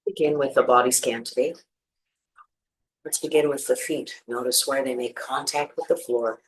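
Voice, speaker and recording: A meditation teacher leading a guided practice, giving gentle, soothing instructions with pauses. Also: mid-range voice, fairly even intonation, rough recording.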